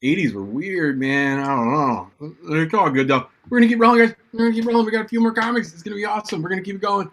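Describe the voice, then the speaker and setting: A man's voice: sits high, lively intonation, fast, hardly any pauses, a bit bright. A man hosting a comedy showcase, whooping and hyping up the next act. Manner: hyped, whooping emcee. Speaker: show host. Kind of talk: emcee patter.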